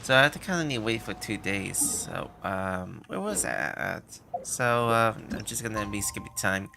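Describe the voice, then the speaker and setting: A man chatting casually as a game livestreamer, halting and rambling with hesitant phrasing. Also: fairly low pitch, animated, creaky, background noise. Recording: game music behind the voice.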